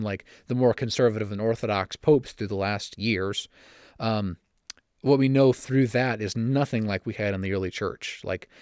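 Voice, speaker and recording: A young man hosting a solo church-history podcast from home, slightly raspy and talking fast with frequent self-corrections. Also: on the low side, darkish tone, dry recording, studio quality. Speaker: podcast host. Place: home room.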